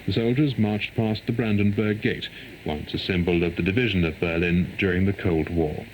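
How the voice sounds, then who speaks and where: A man reads a formal, measured world news bulletin, heard over shortwave radio. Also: sits low. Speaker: radio newsreader. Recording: noisy 1990s shortwave radio reception.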